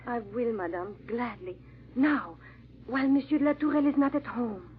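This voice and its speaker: A woman's voice: on the high side, moderate pace, a little hiss or hum, lightly acted. A woman playing a loyal, timid housemaid in a 1940s radio drama, deferential and hesitant toward her mistress. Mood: earnest, nervous. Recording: dull, muffled old radio recording.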